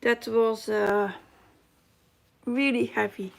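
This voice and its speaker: A woman's voice: on the high side, steady tone, takes it slowly, vocal fry. A middle-aged woman with a Dutch accent hosting a casual live chat, reading comments aloud and searching for her English words. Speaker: cross-stitch live stream host.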